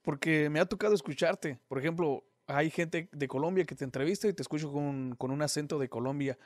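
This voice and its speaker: A man's voice: a little high, a little fast, no echo, studio quality. A man hosting a podcast, asking curious, conversational questions in a casual interview. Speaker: podcast host.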